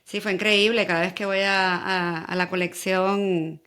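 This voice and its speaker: A Puerto Rican woman in her thirties hosting a podcast, chatting casually and telling her story with quick enthusiasm. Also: medium pitch, steady tone, a bit bright, smooth. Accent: Puerto Rican Spanish. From Puerto Rico. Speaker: podcast host. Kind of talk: casual interview chat.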